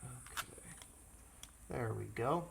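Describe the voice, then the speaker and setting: A man's voice: mid-range voice, slow, creaky. Reassuring male EMT, faint background noise. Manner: reassuring, explains each step simply. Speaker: EMT with a rescue squad. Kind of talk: educational demonstration talking to a child patient.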